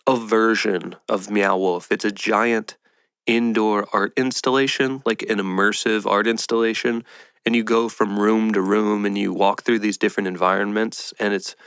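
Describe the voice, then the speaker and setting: A man with a low-pitched voice chats casually as a solo podcast host. He sounds nasal and stuffed up with a head cold, talking informally in a very dry, close recording. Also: steady tone, moderate pace, vocal fry, a bit bright, smooth, no echo. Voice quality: nasal, congested.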